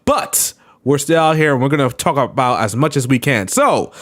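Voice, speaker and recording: A man's voice: mid-range voice, lively intonation, normal speed, fairly bright tone. A man hosting a casual podcast, laughing often and teasing his co-hosts. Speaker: podcast host.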